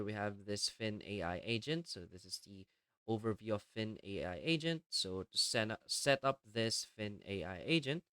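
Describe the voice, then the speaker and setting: A man narrating a step-by-step software tutorial in a plainly explanatory, instructional way. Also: fairly low pitch, clean tone, no room sound. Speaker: tutorial presenter.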